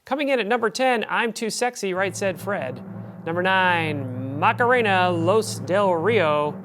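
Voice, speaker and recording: A man's voice: sits high, expressive, measured pace, noisy background. A man hosting a TV talk show, introducing guests and reading a countdown list in an upbeat announcer style.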